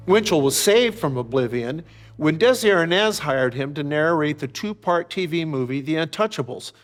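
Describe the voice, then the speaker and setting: An older American man giving reflective, explanatory commentary as an author and host, measured and articulate, with parenthetical asides. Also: on the high side. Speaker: author and series host discussing his novels. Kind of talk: reflective explanatory commentary, semi-scripted narration.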